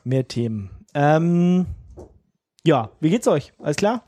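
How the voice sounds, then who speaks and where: A man's voice: fairly high pitch, sing-song, slow, warm tone, no room sound. A man hosting a casual podcast, chatty and upbeat as he leads the talk. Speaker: podcast host. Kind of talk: casual chat.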